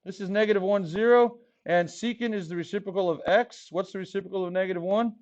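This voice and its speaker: A man in his forties teaching high school math to a live class, joking with the students as he goes. His voice sits high for a man and is a little hoarse. The classroom recording is dull and lo-fi. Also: quick pace, darkish tone. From Texas Rio Grande Valley. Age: 40s. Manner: playful, jokey, talks to the class. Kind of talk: classroom lecture.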